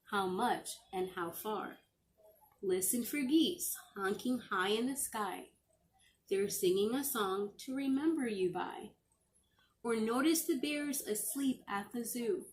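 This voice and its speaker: A middle-aged woman reading a picture book aloud to young children as a teacher, expressively and with deliberate, drawn-out phrasing. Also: on the high side.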